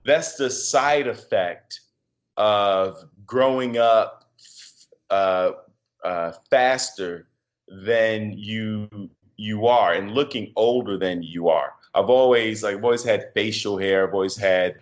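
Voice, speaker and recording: Deep-voiced man from Chicago. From Chicago, USA. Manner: reflective, halting, with many fillers and repeated starts. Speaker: podcast guest. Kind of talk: casual interview conversation.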